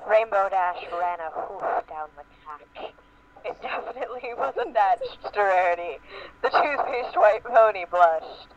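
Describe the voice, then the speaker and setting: A man's voice: sits high, expressive, unhurried. A man reading fan fiction aloud in an amateur group dramatic reading. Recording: muffled noisy lo-fi voice-chat recording.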